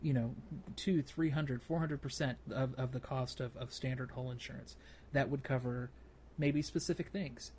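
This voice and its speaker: A man with a medium-pitched voice chats as a podcast co-host. He explains things step by step, thinking aloud in a casual way. There is clear ambient noise behind him. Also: steady tone, moderate pace, darkish tone. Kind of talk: casual podcast discussion of gaming.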